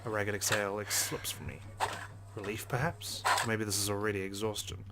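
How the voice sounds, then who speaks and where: A man's voice: lowish voice, level tone, a little fast, heavy background noise. A man reading a visual novel aloud as a let's play host, narrating expressively with casual asides. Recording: game music behind the voice.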